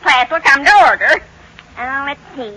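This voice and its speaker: Give this voice to a matter-of-fact housemaid in an old radio comedy. It is high and very sharp, with a deliberate, instructive delivery over faint recording noise. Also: female voice, rough recording. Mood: schoolmarmish. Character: dutiful, matter-of-fact housemaid reading like a schoolteacher. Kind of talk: 1940s-50s radio sitcom acting.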